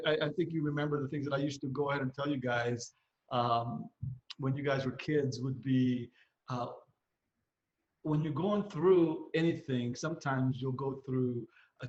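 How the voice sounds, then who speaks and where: Middle-aged man in an echoey room. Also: medium pitch. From Philippines, moved to the US at nine, San Francisco Bay Area. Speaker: podcast host, parent of young adults. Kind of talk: casual family podcast talk, giving advice. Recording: roomy echo, slightly dull sound.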